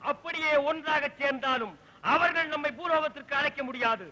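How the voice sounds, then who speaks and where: A booming, pompous god of death. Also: male voice, moderate pace, bright, forceful tone, highs cut off, slight background noise, full character voice. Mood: scornful, boastful. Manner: theatrical, grandiloquent, scolding. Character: pompous male Yama, lord of death. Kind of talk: mythological comedy film acting.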